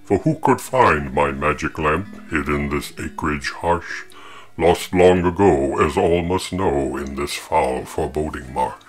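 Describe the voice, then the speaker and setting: Man voicing a very deep, booming crafty genie, theatrical storybook delivery, faint music under it. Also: a little slow. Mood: puzzled, grand. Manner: grand, theatrical, old-fashioned speech. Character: boastful scheming male genie, grand and crafty.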